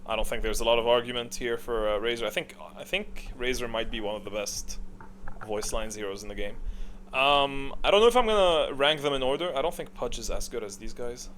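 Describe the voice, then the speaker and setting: A man's voice: mid-range voice, fairly quick, a bit bright. Casual rambling man over noticeable noise. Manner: opinionated, rambling, thinking aloud. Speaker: video game commentator. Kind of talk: casual unscripted tier list commentary.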